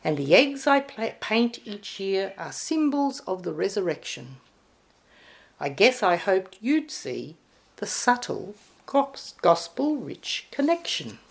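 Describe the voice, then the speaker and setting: A woman's voice: medium pitch, sing-song, slow pace, vocal fry, exaggerated voice. A woman voicing a cheerful, sheepish male Easter Bunny in a storybook poem, chummy and casual. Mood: sheepish, explaining.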